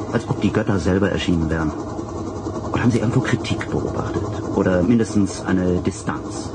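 An actor playing a middle-aged, embittered state secretary in a radio drama, speaking formally and emphatically with learned words. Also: male voice, deep voice, gravelly. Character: embittered, principled male state secretary opposed to occupation. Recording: loud vehicle noise behind.

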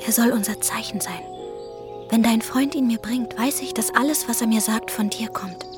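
A young village girl played by a woman in a radio drama, devoted and quietly brave. Her voice is fairly high, measured and tender, with music clearly behind it. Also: lightly acted. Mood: resolute. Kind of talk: German radio play acting.

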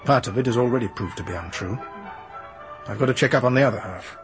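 An actor playing a persistent, measured police inspector in a radio drama. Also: male voice, medium pitch, soft background music, lightly acted. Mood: firm questioning. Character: dogged, skeptical police inspector Narracott.

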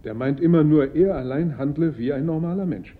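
An actor playing a shrewd, excitable art dealer in a German radio play, speaking theatrically with teasing pauses. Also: male voice, fairly high pitch, close to an everyday voice. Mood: pensive, determined. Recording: muffled radio play recording.

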